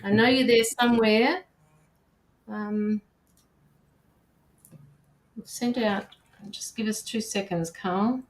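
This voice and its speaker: A woman's voice: medium pitch, steady tone, slow pace, pauses often, echoey room, low-quality recording. A woman hosting a livestream, chatting informally with viewers and her guest. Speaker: livestream host. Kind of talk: casual livestream chat.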